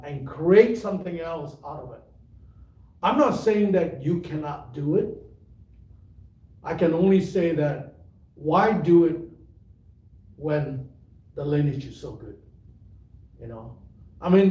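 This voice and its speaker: Malaysian-british accented guy teaching tai chi, takes his time, lots of uhs, kinda high voice, echoey room. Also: mellow, distant mic. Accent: mixed Malaysian and British. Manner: unhurried, thoughtful, rambling with many fillers. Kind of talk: livestream teaching talk.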